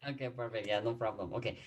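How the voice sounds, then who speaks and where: Casual video-call Korean teacher, man in 30s, animated pitch, patient explainer. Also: husky. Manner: patient, explains with examples, frequent fillers and restarts. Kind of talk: online language class teaching. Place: home room, video call.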